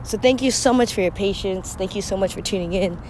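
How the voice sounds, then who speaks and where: A woman's voice: medium pitch, brisk, darkish tone. Young woman with a scratchy sick voice. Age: young adult. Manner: chatty and candid, frequent laughs and throat clearing. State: scratchy voice from a cold. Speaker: podcast host. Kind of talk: casual solo podcast monologue.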